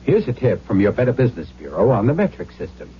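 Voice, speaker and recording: A man's voice: mid-range voice, big pitch swings, fast talker. An American man reading a scripted 1970s radio public service announcement. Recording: dull vintage radio, faint hiss.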